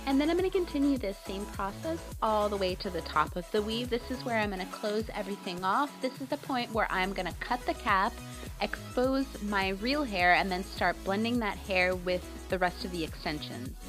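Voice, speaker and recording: A woman talks through a hair tutorial in a relaxed, chatty voice-over, her voice a little raspy and tired from a cold. She sits at an ordinary middle pitch. Music plays clearly beneath her. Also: moderate pace. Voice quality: slightly raspy. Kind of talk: casual voice-over tutorial narration. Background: background music.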